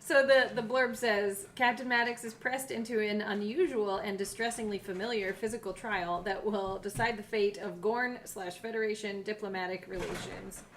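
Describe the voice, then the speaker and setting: A woman's voice: mid-range voice, steady tone, moderate pace, few pauses, a bit bright, a little hiss or hum. A woman co-hosting a podcast in a casual, chatty style. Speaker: co-host of a behind-the-scenes podcast. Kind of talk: casual chat, reading episode blurbs aloud.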